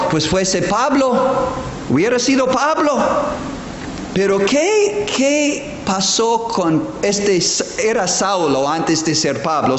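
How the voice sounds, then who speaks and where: A man preaching a sermon as a pastor, stressing words slowly and restarting phrases. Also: sits high, slight background noise. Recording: echoey hall with faint ambience.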